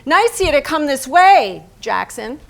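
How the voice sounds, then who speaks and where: Bitter wife for a staged play, female actor, higher-pitched, sardonic, biting, possessive. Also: sing-song, measured pace, gravelly, fairly bright tone, exaggerated voice. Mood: bitter, wry. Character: Lee, embittered painter's wife, sharp-tongued, possessive, exasperated. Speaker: stage actress. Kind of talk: theatrical staged reading, narration mixed with dialogue.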